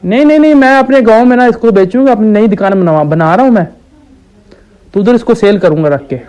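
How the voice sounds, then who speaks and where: Casting: a man acting a dim, persistent village shopkeeper for a comic prank call; high, smooth voice, deadpan and halting. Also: natural voice, rough recording. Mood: naively stubborn. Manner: slow-witted, hesitant, naively persistent. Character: dim, stubborn village shopkeeper ordering absurd medicine quantities. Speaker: prank caller.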